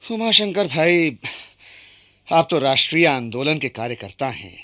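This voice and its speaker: A thoughtful, opinionated male journalist. His voice is slightly high and bright. He explains things in a measured, conversational manner. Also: sing-song, heavily acted. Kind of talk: radio play dialogue.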